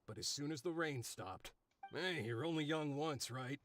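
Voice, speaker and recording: A man voicing a friendly, easygoing male site worker who talks in a relaxed, good-natured way in a video game. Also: on the high side, normal speed, faint music behind, natural voice.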